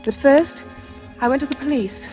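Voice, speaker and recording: An actress playing a frightened but brave young heroine in a 1940s radio drama, earnest and dramatic. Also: female voice, high-pitched, normal speed, over loud music, close to an everyday voice. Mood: dramatic narration. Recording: noisy vintage radio recording with clicks.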